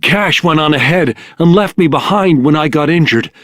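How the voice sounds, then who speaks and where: A man's voice: on the high side, moderate pace, fairly bright tone, no room sound, studio quality, close to an everyday voice. A man playing an injured, worried companion in a video game, earnest and strained. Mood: worried, pained. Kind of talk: video game dialogue acting.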